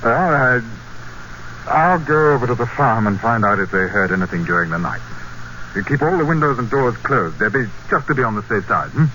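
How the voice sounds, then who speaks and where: A man's voice: medium pitch, expressive, brisk, pushed and bright. An actor playing an anxious, practical husband and father in an old radio drama, dramatic and intense when narrating, hesitant in conversation. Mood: uneasy, reassuring. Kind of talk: old-time radio drama acting with first-person narration. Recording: muffled, very noisy old radio recording.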